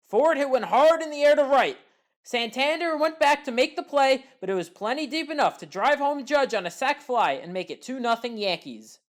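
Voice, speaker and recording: A woman giving a scripted play-by-play recap of baseball highlights in a measured, factual way. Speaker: highlights commentator.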